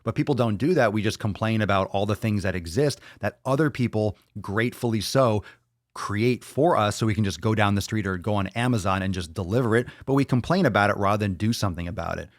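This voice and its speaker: A man's voice: fairly low pitch, fast talker, no room sound. A young man hosting a solo podcast, ranting bluntly and emphatically with frequent swearing into a close mic. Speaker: podcast host.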